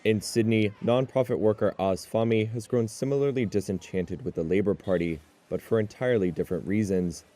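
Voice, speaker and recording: A man narrating a news report in a measured, even delivery. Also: on the low side.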